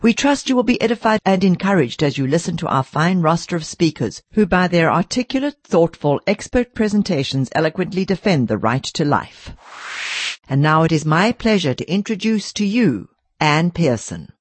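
A woman's voice: fairly low pitch, big pitch swings, moderate pace, dry recording. A woman hosting a speaker series, reading a formal scripted introduction of the next speaker. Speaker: series host.

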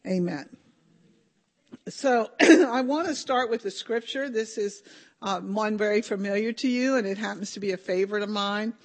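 An older woman giving a talk at a conference, her voice slightly hoarse and deeper than usual for a woman. She speaks at an ordinary pitch, hesitant and informal, pausing often with ums and starting sentences over. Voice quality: deep for a woman. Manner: hesitant, many ums and restarts.

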